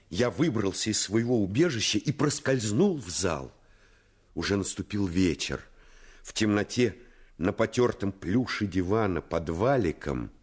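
An actor narrating a radio play in the first person as an earnest, intense storyteller recalling a boyhood adventure. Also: male voice, fairly low pitch, darkish tone, husky. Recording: radio play with music underneath.

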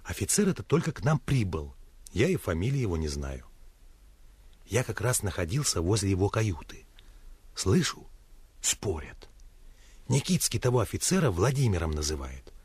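An actor playing a seasoned, fatherly military commander, talking in a confiding, measured way in a radio drama. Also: low voice, very animated, darkish tone, husky, dry recording, full character voice. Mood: confiding, grave. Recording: radio play with music underneath.

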